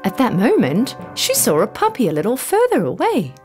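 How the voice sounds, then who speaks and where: A woman reading a scripted bedtime story for children in an expressive, deliberate storytelling manner. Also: on the high side. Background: background music.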